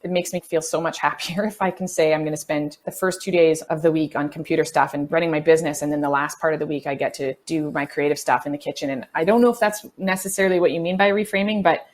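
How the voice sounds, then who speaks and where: A woman in her forties with a slightly low voice chats as a podcast guest. She is informal and emphatic, telling things in an animated, conversational way. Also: fast, hardly any pauses. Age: 40s. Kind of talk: casual conversational interview.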